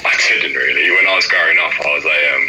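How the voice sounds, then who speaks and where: A teenage young man, a youth footballer chatting casually as an interview guest, relaxed and quick to laugh. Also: rumbling low, very noisy. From England. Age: teens.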